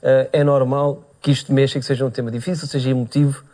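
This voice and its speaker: A man's voice: medium pitch, little pitch movement, fast, dark tone. A man moderating a televised debate, asking probing questions in a conciliatory way. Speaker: TV debate presenter.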